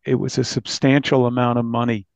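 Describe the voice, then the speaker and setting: A mature American man with a gravelly voice, a reporter recounting a story in a conversational interview. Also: mid-range voice, flat delivery, a little fast, darkish tone, dry recording. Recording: dull, slightly lo-fi, dry.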